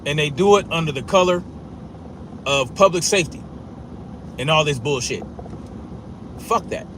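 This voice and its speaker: Forceful man ranting, stutter, street noise. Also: a little high, normal speed. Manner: forceful, profane, repetitive, with a stutter. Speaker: commentator. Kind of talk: blunt opinion monologue.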